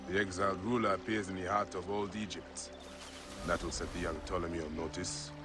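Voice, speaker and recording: Man acting a low-voiced, devoted warrior husband. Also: steady tone, brisk, music in the background, lightly acted. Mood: wry, proud. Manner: earnest, heartfelt. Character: devoted, grieving Egyptian warrior husband, protector of the people. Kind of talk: dramatic video game acting.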